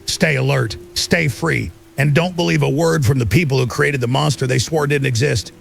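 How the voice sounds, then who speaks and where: A man's voice: medium pitch, normal speed, creaky, a little hiss or hum. A man playing a paranoid, defiant American conspiracy broadcaster delivering urgent, emphatic emergency warnings in a radio drama. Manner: emphatic, clipped doomsday warnings. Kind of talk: emergency shortwave radio monologue.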